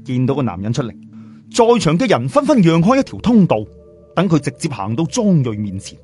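A man with a Cantonese accent narrating a scripted story in a dramatic, expressive way. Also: fairly high pitch, a little fast. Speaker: audiobook narrator. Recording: music bed under voice. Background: background music.

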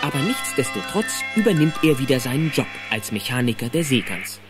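A male narrator tells an adventure in a vivid, suspenseful style. His middle-pitched voice is very animated, with music behind it. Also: brisk. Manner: vivid, suspenseful present-tense telling. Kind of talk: dramatic scripted storytelling in German.

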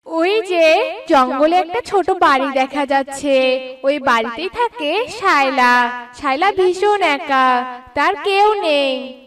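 A woman's voice: high voice, animated, takes it slowly, a bit bright, far from the mic. A woman narrating a scripted children's cartoon story.

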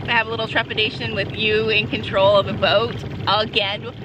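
A woman's voice: medium pitch, level tone, moderate pace. An American woman vlogging from a small boat, wry and a little wary. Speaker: travel vlogger. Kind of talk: casual chat. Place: outdoors on a lake in a small boat. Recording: loud boat engine and water noise.

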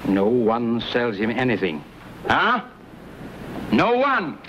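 A man's voice: medium pitch, wildly swooping pitch, slow, a bit bright, full character voice. An actor playing a bullying, threatening trading company henchman in an old Western. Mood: barking order. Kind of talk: 1950s Western screen acting. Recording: dull, hissy vintage film soundtrack.

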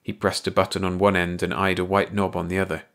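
A man narrating a science-fiction short story aloud in an expressive, measured manner. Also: low voice, clean tone. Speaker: audiobook narrator.

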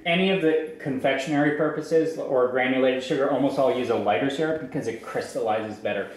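A young man giving an informal farm tour, explaining as he goes with halting, repeated starts. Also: medium pitch. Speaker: maple farmer giving a tour. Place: large farm sugarhouse and tasting room. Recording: echoey farm building, some background noise.

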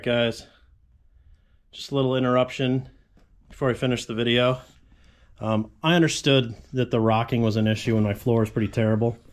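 A man in his forties, a fabrication channel host, casually explaining a metal shop tool in his home garage, rambling with frequent asides. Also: mid-range voice. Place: cluttered home garage workshop with tarp walls.

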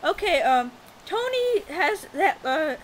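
A woman's voice: extremely high pitch, big pitch swings, takes it slowly, a little hiss or hum, heavily acted. A woman voicing a fussy, helpful friend who coaxes and exclaims in an amateur comedy skit. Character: high-voiced, fussy, helpful Mario, friend in puppet skit.

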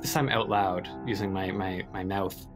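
A man improvising as a player in a tabletop game, halting and casual as he describes his actions. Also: low voice, fairly even intonation, music in the background.

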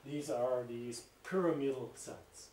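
A man's voice: medium pitch, slow pace, darkish tone, a little hiss or hum. German-accented man lecturing in echoey room. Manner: explanatory, pointing at slides, gestures while speaking. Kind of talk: university lecture. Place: seminar room with projector. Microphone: distant room mic. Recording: echoey room, speaker far from mic.

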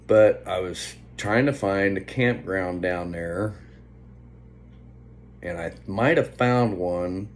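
A man in his fifties chatting casually to a phone at home, unhurried and meandering, often trailing off. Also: deep voice. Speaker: vlogger. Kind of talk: casual rambling vlog chat. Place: indoors, small room at home. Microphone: phone at arm's length. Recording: faint background hum on phone recording.

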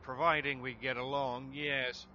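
A man's voice: medium pitch, level tone, unhurried, pushed and bright. A man playing a game, giving short spoken commands and questions to a computer. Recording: dull, noisy gameplay recording.

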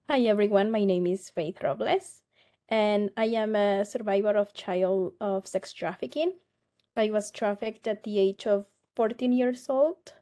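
A woman from Mexico, giving a slow, earnest personal testimony over a video call. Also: medium pitch, mellow. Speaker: survivor advocate, guest speaker. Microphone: laptop webcam on a video call.

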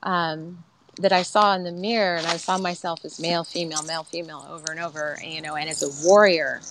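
Soft-spoken woman sharing her story. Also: sits low, steady tone, brisk, background noise. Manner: candid, reflective, soft. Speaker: guest. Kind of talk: personal spiritual story. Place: home room, indoors.

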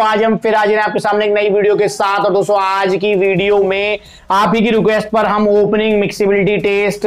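A man with an Indian Hindi accent hosting a chatty supplement review, speaking straight to his viewers as friends and pausing often on fillers. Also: sits high, clear, resonant tone. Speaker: supplement reviewer, channel host. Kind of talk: product review talk to camera.

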